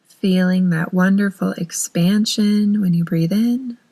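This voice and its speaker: A woman leads a guided meditation at a very slow pace. Her voice sits at a medium pitch, and she gives soothing, unhurried instructions, leaving long pauses between phrases. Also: warm tone.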